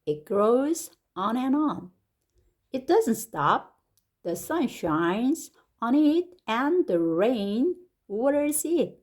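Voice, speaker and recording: A woman with a Korean accent, reading an English picture book aloud slowly and expressively, like a teacher, with lively rises on the exciting words. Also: fairly high pitch. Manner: slow and deliberate, stretching words, with lively rises on exclamations. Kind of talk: slow, expressive storybook read-aloud.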